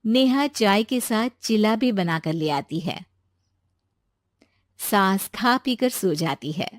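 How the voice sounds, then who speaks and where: A woman narrating a cartoon moral story in a steady storytelling manner. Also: medium pitch, takes it slowly, dry recording, clean studio sound.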